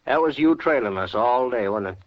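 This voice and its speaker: An actor playing a surly, menacing outlaw in a radio western, curt and challenging. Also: male voice, mid-range voice, animated, brisk, close to an everyday voice. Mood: suspicious. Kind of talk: 1950s radio western acting. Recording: muffled old-time radio recording.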